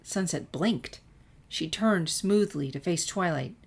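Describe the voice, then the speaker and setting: A low-voiced woman reads a story aloud in scripted narration. She works as a storyteller, delivering prose in the manner of an audiobook reader. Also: takes it slowly, husky. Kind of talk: scripted fan fiction narration, audiobook reading.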